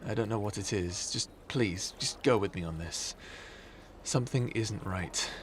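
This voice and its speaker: A man's voice: fairly low pitch, unhurried, slight background noise, lightly acted. A man playing an uneasy, informal warrior leader in an ASMR audio roleplay, talking earnestly and hesitantly. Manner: casual, hesitant, earnest phrasing.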